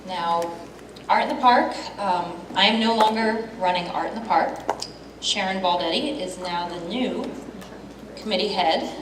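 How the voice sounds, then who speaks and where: A woman running a club business meeting, moving briskly through agenda items. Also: medium pitch. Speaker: meeting chair. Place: meeting hall. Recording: echoey hall, voice from far off, background noise.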